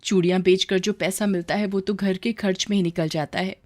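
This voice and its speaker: A woman's voice: medium pitch, steady tone, brisk, a little mellow, close to an everyday voice. A woman voicing a long-suffering yet outspoken bangle-selling mother in a cartoon story, plaintive and emotional. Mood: tender, sad.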